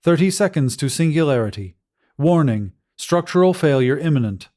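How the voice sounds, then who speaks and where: A man's voice: fairly high pitch, lively intonation, normal speed, dark tone, pitched above the performer's own voice, natural voice. A man voicing a dutiful ship's computer in an audiobook, with clipped, formal, impersonal announcements. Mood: impassive alert. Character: dutiful ship's computer giving countdown warnings.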